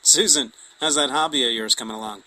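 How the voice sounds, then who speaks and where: An actor playing a sarcastic, dry-witted engineer on a remote air base. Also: male voice, medium pitch, a little fast, pushed and bright, poor audio. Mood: wry.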